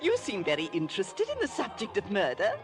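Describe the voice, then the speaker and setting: An actress playing a gullible, giggly society matron in a 1950s radio drama, coy and fluttery. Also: female voice, mid-range voice, wildly swooping pitch, a little fast, over music. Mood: amused, coy. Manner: fluttery, coy, easily amused. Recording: vintage radio broadcast with party crowd.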